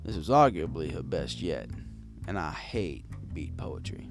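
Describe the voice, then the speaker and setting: A man's voice: very deep voice, big pitch swings, a little slow, vocal fry, over music. A man reading a scripted first-person story as a wry, conversational bartender pony. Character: wry, gruff bartender pony telling his tale. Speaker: story narrator.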